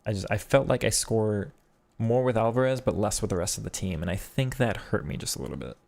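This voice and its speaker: Male streamer, lower register, loose and chatty, talks through tactics off the cuff. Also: a little fast, vocal fry, darkish tone. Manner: chatty, thinks aloud with frequent restarts. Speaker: streamer and game coach. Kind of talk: casual live-stream commentary reading chat.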